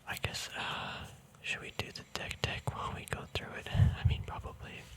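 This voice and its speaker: Man, unvoiced whisper, breathy, close-miked, casual ASMR chat, unhurried thinking aloud. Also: a bit bright. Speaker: ASMR host. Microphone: mic very close to the mouth and nose.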